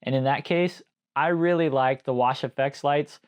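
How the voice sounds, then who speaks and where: A man's voice: mid-range voice, normal speed, smooth. A man giving a casual, conversational explainer on gear as an informal video host. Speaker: host, live sound engineer.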